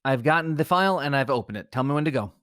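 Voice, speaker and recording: A man's voice: mid-range voice, lively intonation, rapid-fire, a bit bright, no echo, studio quality. A man co-hosting a comedy podcast, moving between banter and mock-solemn reading.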